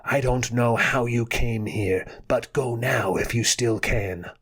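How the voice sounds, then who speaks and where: A man's voice: medium pitch, slow pace, a bit bright, husky. A man voicing a sinister undead wizard who speaks in a hissing, menacing way, like a character in an audiobook. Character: sinister undead male wizard, vengeful and gloating.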